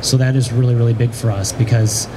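A young man with a mid-pitched voice explains his work on a panel. He speaks conversationally with very even intonation. The noise of a trade show hall is clear behind his handheld mic. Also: fast talker. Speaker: panelist, print business owner. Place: large indoor exhibition hall. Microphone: handheld microphone. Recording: noisy trade show floor, ventilation hum.

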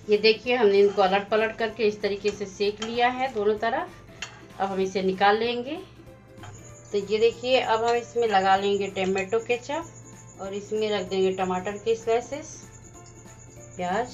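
A woman hosting a home cooking channel, calmly explaining each step of a recipe as she goes. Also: medium pitch. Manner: plain, instructive, walks through each step. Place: home kitchen at the stove. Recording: slightly dull lo-fi sound with faint music.

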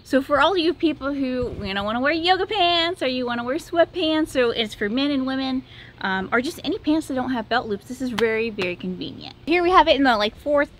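A woman's voice: high voice, lively intonation, brisk, a bit bright, a little hiss or hum. An American woman in her thirties giving a casual, conversational product review to camera as host. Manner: conversational, informal. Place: backyard patio and home kitchen.

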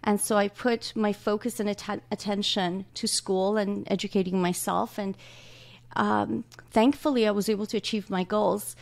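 A woman in her forties from Iran speaks with a light Iranian accent, her voice carrying a gravelly edge. She talks earnestly and warmly as a guest doctor, close on a studio microphone in a small room. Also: medium pitch, steady tone, moderate pace. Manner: earnest, warm, laughs often. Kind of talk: casual interview talk. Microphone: large studio mic with headphones.

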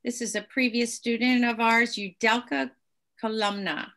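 A woman's voice: fairly high pitch, fairly even intonation, moderate pace, fairly bright tone. A woman moderating an online presentation, upbeat and enthusiastic as she poses questions to a panel. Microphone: video call.

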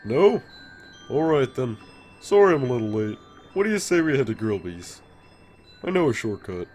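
A man's voice: mid-range voice, very animated, moderate pace, a little mellow, faint music behind, lightly acted. A man voicing a laid-back skeleton joker with a hidden menace, talking in a casual, wry drawl in a cartoon comic dub. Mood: easygoing, joking.

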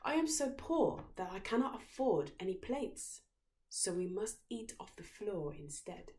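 A woman's voice: mid-range voice, very animated, a little slow, a little mellow, voice pushed up. Woman as theatrical prince posing as a beggar. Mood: sly, plaintive. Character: young prince posing as a poor beggar, slyly pitiful.

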